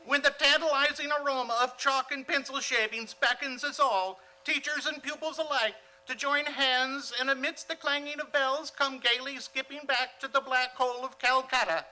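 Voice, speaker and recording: Radio comedy role: overdramatic teen schoolboy, very shrill and high, earnest, florid, indignant. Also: male voice, steady tone, normal speed, few pauses, bright, forceful tone, heavily acted, rough recording. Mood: grandly theatrical. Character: eager, indignant, grandiloquent teenage schoolboy. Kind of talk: old-time radio comedy acting.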